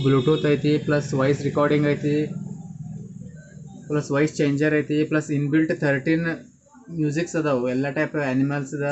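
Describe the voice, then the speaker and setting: A man with a North Karnataka accent giving a casual hands-on gadget review as a shop owner. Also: a little high. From Karnataka, India. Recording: loud background noise.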